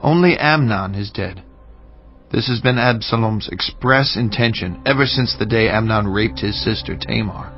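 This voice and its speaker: An actor playing a shrewd, scheming royal adviser in a dramatized audiobook. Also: male voice, on the low side, normal speed, lightly acted. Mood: calm, assuring. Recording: slightly dull audio with music bed.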